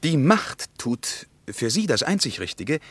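An actor playing an intense German writer in a radio drama, speaking in an emphatic, declamatory and deliberate way. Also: male voice, medium pitch, sing-song, a bit bright, breathy edge, no echo, heavily acted. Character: intense German writer explaining his story of oppression. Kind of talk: 1950s-60s radio drama acting.

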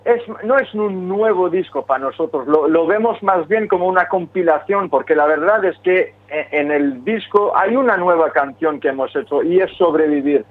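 A man from Quebec, Canada, who speaks French as his first language, talking haltingly with many restarts in a phone interview about his band. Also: on the high side. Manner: hesitant, many restarts and fillers. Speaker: singer of a metal band, interviewee. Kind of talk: phone interview, casual talk. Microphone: telephone. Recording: phone line.

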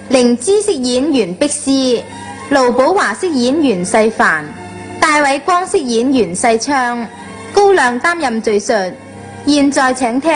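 A woman announcer reading a scripted radio drama introduction. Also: sits high.

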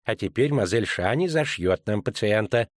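A man's voice: fairly low pitch, animated, moderate pace, no room sound. A man voicing a sardonic male field surgeon who lectures his assistants in a dry tone. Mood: dryly lecturing.

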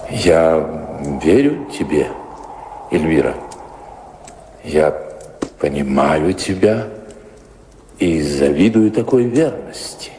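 A weary, brooding nobleman in a radio drama. His voice is deep, and he talks slowly and gravely. The recording is echoey, with clear background noise. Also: big pitch swings, full character voice. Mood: resigned, tender. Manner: grave, slow, deliberate. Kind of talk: radio play stage acting. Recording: echoey hall, noticeable noise.

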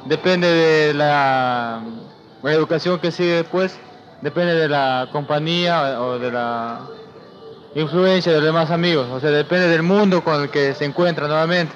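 A man's voice: fairly high pitch, measured pace. A man giving a spontaneous opinion as an interviewee on the street. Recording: dull street interview recording, music behind.

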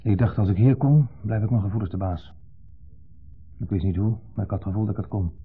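A man's voice: fairly low pitch, fairly quick, husky. An actor in a 1970s radio play voicing an insecure, needling man with a Netherlands Dutch accent, who speaks theatrically and dwells pointedly on his words. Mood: wistful, restrained. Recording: muffled, band-limited 1970s radio recording.

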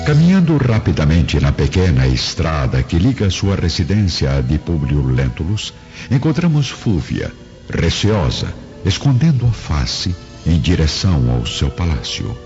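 A man's voice: extremely low pitch, wildly swooping pitch, measured pace, darkish tone. A man giving dramatic scripted narration for a radio drama. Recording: muffled, music behind the voice.

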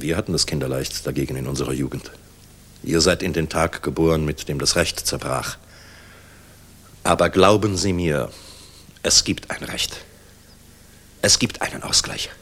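Weary middle-aged general, extremely low pitch, husky, solemn, rhetorical, 1960s radio play. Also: male voice, a bit bright, full character voice. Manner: measured, solemn, reflective, rhetorical. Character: world-weary, grave Luftwaffe general, middle-aged man.

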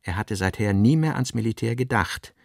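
A male narrator reads literary prose for a radio play. His voice sits on the low side with plenty of gravelly fry, and the sound is very dry, with no room around it. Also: lively intonation, normal speed, no room sound.

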